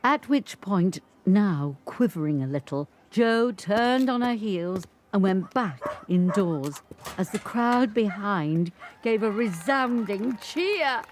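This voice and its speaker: An elderly grandmother recounts family history in a dramatic monologue. She speaks slowly and deliberately at a middling pitch, measuring out each phrase like a practised storyteller. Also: lively intonation, slight background noise. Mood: quiet, firm. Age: older. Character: 85-year-old grandmother recounting a family confrontation. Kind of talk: dramatic monologue, scripted narration.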